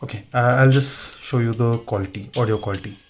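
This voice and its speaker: A man's voice: mid-range voice, fairly even intonation, fairly quick, soft background music. A man giving an informal hands-on tutorial, thinking aloud as he works. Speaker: motovlogger demonstrating gear installation. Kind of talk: casual hands-on tutorial narration.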